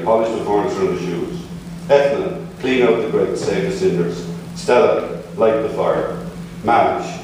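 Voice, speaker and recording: An Irish man reading his own memoir piece aloud at a book launch, measured with dry asides. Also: sits low. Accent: Irish, not from Limerick. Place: large echoey museum hall at a book launch. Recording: echoey hall, voice from far off, noisy.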